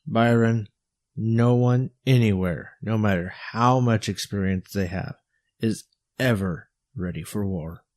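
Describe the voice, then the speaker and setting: A man voicing a sober, battle-worn bodyguard and mentor in an audio story, measured and earnest. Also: on the low side, darkish tone, no room sound, voice pushed up. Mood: reassuring.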